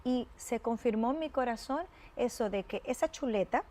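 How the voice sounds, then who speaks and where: A woman's voice: a little high, normal speed, mellow. Effusive woman hosting faith show. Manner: effusive, reverent, lingering on pious phrases. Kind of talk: warm devotional interview.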